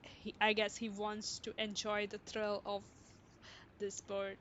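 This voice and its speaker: A woman's voice: mid-range voice, monotone, slow, a bit bright, slight background noise. A woman livestreaming a game, giving casual running commentary as she plays. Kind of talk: casual live playthrough commentary. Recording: game music behind the voice.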